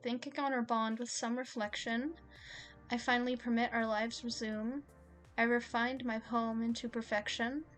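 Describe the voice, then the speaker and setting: A woman voicing a nervous, lovesick man in an audio story, with a halting, stammering, anxious way of talking. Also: on the high side, little pitch movement, a bit bright, faint music behind, natural voice. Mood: earnest, reciting.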